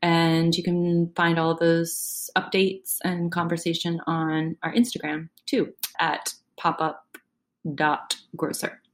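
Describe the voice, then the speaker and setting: Excited, chatty woman guest, low-pitched and relaxed. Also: slow pace. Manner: excited, chatty, laughs easily. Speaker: guest, business founder. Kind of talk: casual interview chat.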